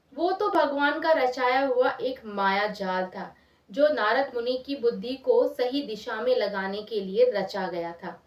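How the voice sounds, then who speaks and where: A woman telling a moral story expressively in everyday, conversational words, repeating phrases for emphasis. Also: high-pitched. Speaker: storyteller, channel host. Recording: roomy echo, distant from mic.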